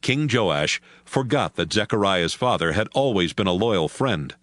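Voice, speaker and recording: Casting: male narrator, fairly low pitch, lively intonation, measured scripted Bible storytelling. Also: no echo, studio quality.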